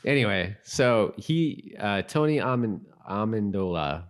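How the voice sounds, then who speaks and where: A man's voice: lowish voice, expressive, measured pace. A man co-hosting a casual podcast chat, often trailing off and rephrasing mid-thought. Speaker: podcast co-host.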